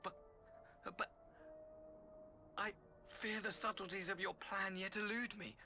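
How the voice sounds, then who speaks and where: An actor playing a fawning, fanatically devoted servant in a video game, with florid, halting, obsequious speech. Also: male voice, sits high, steady tone, bright, forceful tone, soft background music, heavily acted. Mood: fawning devotion. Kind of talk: video game cutscene acting.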